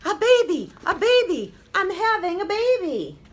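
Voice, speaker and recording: A woman voicing an excitable storybook mother of a new baby, exclamatory and swooping. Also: extremely high pitch, a little slow, voice pushed up, full character voice. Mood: frantic excitement.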